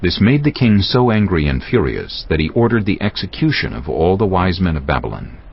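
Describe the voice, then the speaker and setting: A man narrating a dramatized audio Bible in a solemn, deliberate storytelling style. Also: deep voice, big pitch swings, creaky, husky, highs cut off.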